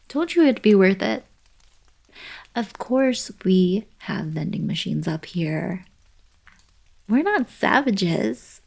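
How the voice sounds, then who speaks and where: A woman playing a playful, teasing young alien princess girlfriend in an intimate ASMR roleplay, speaking softly and breathily. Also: fairly low pitch, heavily acted. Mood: bright, playful. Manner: soft, breathy, casual teasing delivery. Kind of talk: intimate ASMR roleplay, scripted monologue to the listener.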